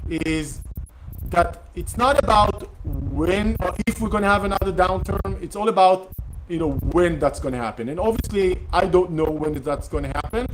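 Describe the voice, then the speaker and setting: Middle-aged host, Hebrew first language; hesitant and filler-heavy, slightly high-pitched; faint noise floor. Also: male voice, low-quality recording. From Israel. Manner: hesitant, many fillers and restarts. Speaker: real estate investor hosting a Q&A session. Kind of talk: casual live-streamed talk.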